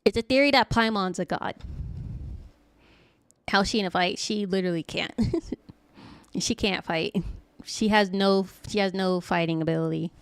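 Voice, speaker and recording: A woman's voice: medium pitch, normal speed, pauses often, smooth, no room sound. A woman game streamer giving casual, joking and rambling live commentary. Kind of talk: casual chatty livestream commentary.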